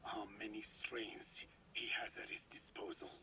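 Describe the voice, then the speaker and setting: An actor playing an ancient male scientist in a video game, speaking in a measured, formal, precise way with a whispery voice. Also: fairly low pitch, steady tone, close to an everyday voice, poor audio. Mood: calm, instructive.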